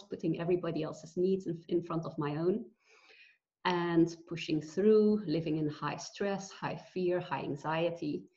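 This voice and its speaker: A woman from Belgium in her forties, a guest telling her story with pauses and fillers over a video call from home. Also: fairly low pitch, mellow. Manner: earnest, reflective, with many pauses and fillers. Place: bright room at home. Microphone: video call webcam. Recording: dull lo-fi video call audio.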